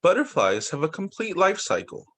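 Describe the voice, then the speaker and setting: A young man who teaches young children, reading picture books aloud in a sing-song, expressive way over a video call. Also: high-pitched, moderate pace. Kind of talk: read-aloud story time for young children.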